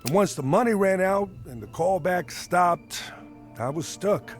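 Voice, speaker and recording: An earnest, chipper musician in a game. His pitch moves freely as he talks in a hesitant, folksy manner, with music underneath. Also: lively intonation, unhurried. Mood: wistful, subdued. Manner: hesitant, folksy, mild exclamations. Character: earnest, chipper male jazz musician and party organizer. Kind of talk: voiced dialogue in a narrative RPG.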